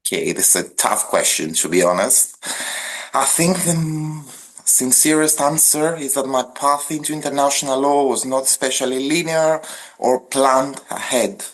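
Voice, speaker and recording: A man's voice: medium pitch, rough recording. A man from central Greece with a Greek accent, a legal scholar reflecting formally on his path as a podcast guest. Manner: measured, formal, lists ideas one by one.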